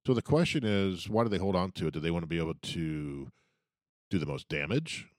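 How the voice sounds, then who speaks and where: A man's voice: sits low, fairly quick, a little mellow, dry recording, studio quality. A man co-hosting a podcast who lays out theories and brings up new topics in casual chat.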